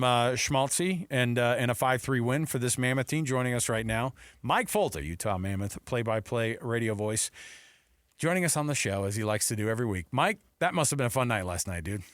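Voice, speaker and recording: American man, middle pitch, casual sports talk host, dry close studio sound. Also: a bit bright, no echo.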